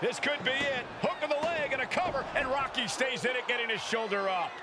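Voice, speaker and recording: A man calling play-by-play for a wrestling video game in excitable, punchy bursts. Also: extremely high pitch, a little fast. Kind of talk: live sports commentary for a wrestling video game. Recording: loud arena noise behind.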